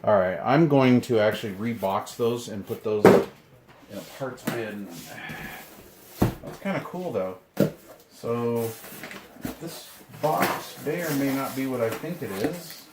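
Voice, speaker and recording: A man's voice: mid-range voice, slow pace. Rambling man in an echoey, noisy room. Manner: rambling, laid-back, muttering to himself. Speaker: video host, hobbyist radio collector. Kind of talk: casual unboxing commentary. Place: indoor room, handling items from boxes. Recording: echoey room with clear noise and clicks.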